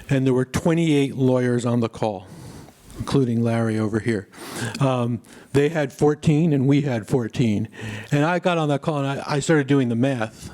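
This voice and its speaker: A man's voice: medium pitch, mellow. A man speaking as a founder on a conference panel, telling his story steadily with a few fillers. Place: conference stage.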